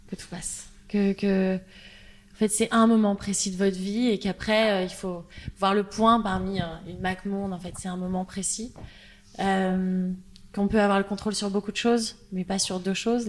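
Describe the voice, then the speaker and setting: A woman with a French accent, a brand founder speaking as a guest on a panel, lively and full of fillers. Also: medium pitch, slight background noise. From France. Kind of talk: panel conversation.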